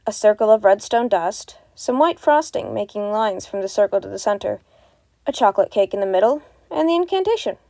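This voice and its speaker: Mellow, soft-toned woman narrating a story. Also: medium pitch, expressive, brisk, vocal fry, no room sound. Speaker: podfic narrator.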